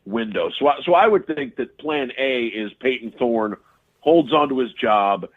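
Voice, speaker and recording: A man's voice: mid-range voice, expressive, unhurried. A man talking casually as a sports radio analyst, rambling with many restarts and fillers. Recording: tinny phone-line audio.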